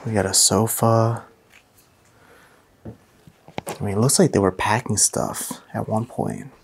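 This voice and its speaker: Low-voiced man exploring an abandoned house. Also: slow pace, vocal fry, a little hiss or hum. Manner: chatty, excitable, jumpy. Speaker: vlogger exploring an abandoned mansion. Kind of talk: casual walkthrough vlog.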